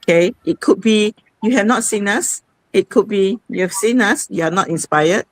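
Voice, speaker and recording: A woman's voice: mid-range voice, moderate pace, no echo, poor audio. A woman, hosting a casual live-stream sharing, chatty and repeating herself, often saying "okay". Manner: chatty, repeats itself, says "okay" a lot. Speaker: host.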